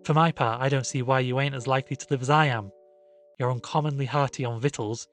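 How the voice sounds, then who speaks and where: A man voicing a sharp, anxious country woman who speaks in an emphatic rustic way in a storybook reading. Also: medium pitch, steady tone, quick pace, faint music behind, lightly acted. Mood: cross, scolding. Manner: emphatic, rustic colloquial speech.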